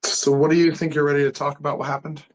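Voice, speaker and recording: A man playing a measured, skeptical psychiatrist with a husky voice at a table read. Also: a little high, little pitch movement, lightly acted. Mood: measured, probing.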